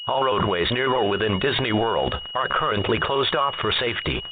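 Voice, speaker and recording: Tinny radio emergency announcer, a man. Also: mid-range voice. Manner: formal, impersonal, measured. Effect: radio broadcast filter. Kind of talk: scripted emergency broadcast announcement. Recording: tinny phone-like radio sound, faint hum.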